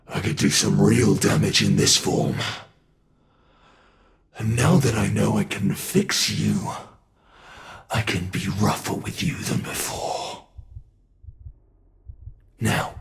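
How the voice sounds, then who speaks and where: A British man playing a sadistic predatory monster in a dark horror ASMR roleplay, taunting and mocking his prey with drawn-out menace. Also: fairly low pitch, gravelly. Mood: quiet menace.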